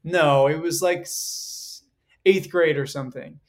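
A man's voice: on the high side, a little slow. A man in his twenties, a singer and guest, chatting casually with many fillers and laughs. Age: 20s. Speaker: guest performer. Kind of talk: casual interview chat.

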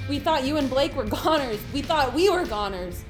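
An actress playing a brash, upbeat, warm-hearted young woman in an audio drama. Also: high voice, lively intonation, normal speed, loud music behind the voice. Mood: amazed.

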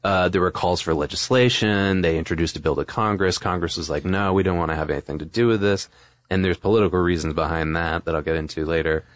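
A man's voice: low voice, fast talker, no echo. A man co-hosting a podcast, explaining history in a casual, conversational and informal way. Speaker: podcast co-host.

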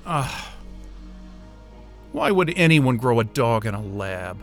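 An actor playing an exasperated, sarcastic but soft-hearted astrophysicist in a naturalistic science-fiction audio drama. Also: male voice, mid-range voice, very animated, slow pace, faint music behind. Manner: sarcastic, muttering, casual swearing.

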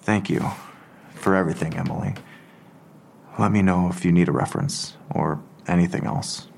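An actor playing a weary, apologetic police detective and gentle father, speaking haltingly and sincerely in an audio drama. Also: sits low, fairly even intonation, gravelly, a little hiss or hum. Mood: regretful, grateful.

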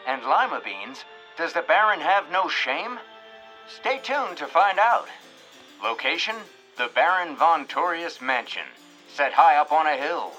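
An actor playing a showy, tongue-in-cheek, old-fashioned radio announcer and narrator. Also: male voice, a little high, sharp tone, full character voice, poor audio. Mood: dramatic, teasing. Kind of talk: mock vintage radio announcing.

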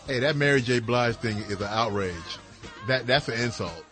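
A man's voice: mid-range voice, normal speed, music in the background. A man chatting casually on air as a colleague on a radio show.

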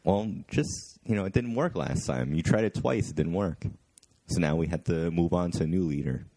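A man's voice: sits low, normal speed, mellow. A young man co-hosting a podcast, joking among friends with a dry, mumbling delivery. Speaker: podcast co-host. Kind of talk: casual banter among friends.

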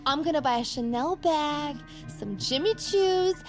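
A woman's voice: high-pitched, expressive, unhurried, music in the background. An actress playing a brash, foul-mouthed, volatile girlfriend in an audio drama, with a blunt, mocking, bossy delivery. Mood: brazen.

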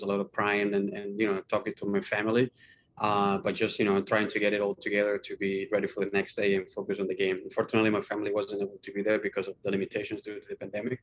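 Fast-talking man with a flat, lowish voice. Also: poor audio. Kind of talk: first-person interview answers. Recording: faint background noise with low rumble.

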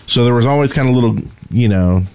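A man with an average-pitched voice talks casually as a podcast co-host about video games. He has a joking, teasing way of speaking, as if ribbing friends. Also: fast, a little mellow, clean tone.

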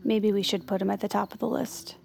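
An actress playing an eager, naive, gossipy confidante who gushes romantically in an audio comedy drama. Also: female voice, mid-range voice, animated, fairly quick, creaky, a little mellow, a little hiss or hum.

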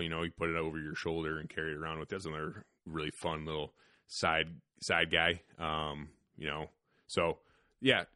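Deep-voiced, profane, laid-back podcast host. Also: male voice, sharp tone, no room sound, studio quality. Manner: profane, rambling, laughs often. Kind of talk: casual podcast chat and ad read. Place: hunting cabin.

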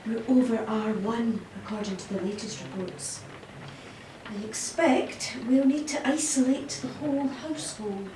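Audio play: actress as organised, persuasive, composed woman, far from the mic over clear noise. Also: on the high side, unhurried, close to an everyday voice. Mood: businesslike. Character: composed, organised, civic-minded woman, quietly calculating. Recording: roomy, distant, noisy background.

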